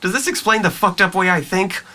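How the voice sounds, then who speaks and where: A man's voice: high voice, a little fast, pushed and bright, slight background noise. An actor playing a depressed, sarcastic young man who bristles at his family in an audio drama. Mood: furious, explosive. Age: young adult. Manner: biting, sarcastic.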